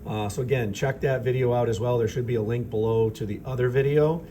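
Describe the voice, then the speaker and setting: A man with a slightly raspy voice explains a lab demonstration in an easygoing, thinking-aloud teacher's manner. His pitch sits in a middling range for a man. Faint fan noise hums behind him in a school lab. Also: brisk, mellow. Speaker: chemistry teacher. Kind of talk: informal lab demonstration narration. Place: school chemistry lab with vents. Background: ventilation fans running.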